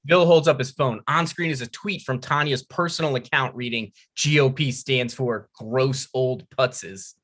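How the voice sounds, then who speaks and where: A man's voice: medium pitch, unhurried, few pauses, fairly bright tone. A man narrating a script's stage directions at a table read.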